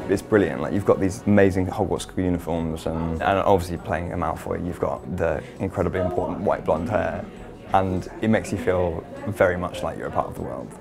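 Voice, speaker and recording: A man's voice: low voice, a little fast, vocal fry, over music. A young man, an actor, chatting casually in an interview. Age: young adult.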